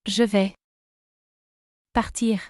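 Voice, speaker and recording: A woman slowly and carefully saying short drill phrases twice each for learners to repeat. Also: mid-range voice, flat delivery, darkish tone, no echo, studio quality. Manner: careful, deliberate enunciation. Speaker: pronunciation model.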